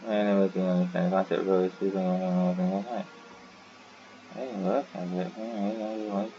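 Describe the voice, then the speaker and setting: A man giving casual, halting and rambling commentary as he plays through a game. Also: deep voice, fairly even intonation. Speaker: gaming video host. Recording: muffled mic with faint noise.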